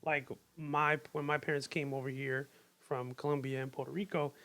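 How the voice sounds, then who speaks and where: A young man with a New York accent chats as a guest on a podcast, sincere and loose, full of slang and fillers. His voice sits a little higher than most men's. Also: little pitch movement, normal speed, a bit bright. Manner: open, earnest, slangy with many likes. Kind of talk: casual podcast conversation.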